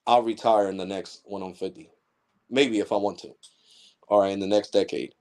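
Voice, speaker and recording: Man, forties, tech channel host, livestream rant, blunt, heated, profane, slightly low voice. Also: steady tone, moderate pace, darkish tone. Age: 40s. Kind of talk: casual ranting livestream monologue.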